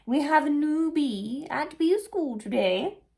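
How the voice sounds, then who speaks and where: Woman voicing a high, theatrical queen bee. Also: lively intonation, gravelly, heavily acted. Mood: grand, welcoming. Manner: loud, theatrical, drawn-out announcing. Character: queen bee in charge of bee school. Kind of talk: children's picture-book character voice.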